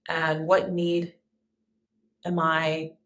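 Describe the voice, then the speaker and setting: Calm low-voiced woman vlogger. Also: very slow. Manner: soft, calm and earnest, with restarts. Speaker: wellness vlogger. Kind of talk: casual personal vlog talk.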